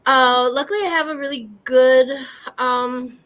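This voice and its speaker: A woman from the US Midwest whose first language is Spanish, speaking as a guest on a video call panel in a reflective, earnest way with frequent pauses. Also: high voice, fairly even intonation, a bit bright. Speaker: guest panelist, community organizer. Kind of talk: casual panel chat.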